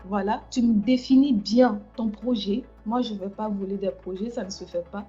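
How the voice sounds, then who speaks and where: Woman chatting on a livestream, mellow lo-fi. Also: mid-range voice, steady tone, moderate pace, soft background music. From France. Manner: hesitant, many fillers and restarts. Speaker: livestream host. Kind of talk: casual livestream chat.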